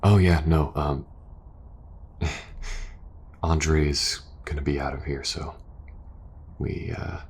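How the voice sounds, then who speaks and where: A man playing a devoted, anxious young soldier in an intimate audio roleplay, speaking in a hushed, hesitant, tender way. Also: very deep voice, steady tone, takes it slowly, gravelly, long pauses, a little hiss or hum, heavily acted. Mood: shy, awkward.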